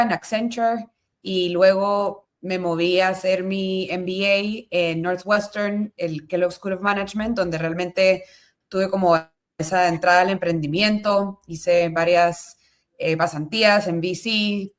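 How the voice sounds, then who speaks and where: A woman from Guatemala, a guest explaining her career steadily in an interview. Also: fairly low pitch. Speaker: guest, venture capital entrepreneur. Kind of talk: casual interview talk.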